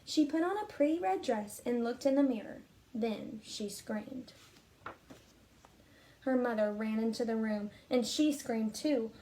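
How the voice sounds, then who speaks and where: A woman speaking as a teacher, explaining and reading aloud in an expressive, instructive way. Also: on the high side, unhurried, a little hiss or hum. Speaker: teacher and reading program fellow. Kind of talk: explanatory talk, then storybook read-aloud.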